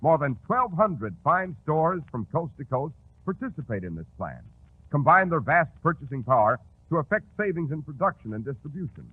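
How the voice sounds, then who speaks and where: An American man delivering an emphatic, polished scripted sales pitch as a 1940s radio announcer. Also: big pitch swings, moderate pace, slightly rough. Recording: tinny 1940s radio recording.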